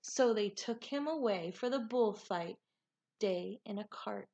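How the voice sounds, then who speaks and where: A woman reading a children's storybook aloud like a teacher, with dramatic, deliberate phrasing and emphatic words. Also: mid-range voice, creaky, warm tone, muffled recording.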